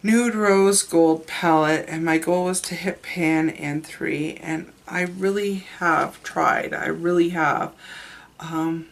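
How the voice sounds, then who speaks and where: A woman's voice: fairly low pitch, steady tone, slow pace. A woman with a stuffed-up, sick voice, chatting candidly to camera about her makeup. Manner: chatty, candid, trails off and restarts. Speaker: beauty vlogger. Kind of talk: casual makeup review to camera.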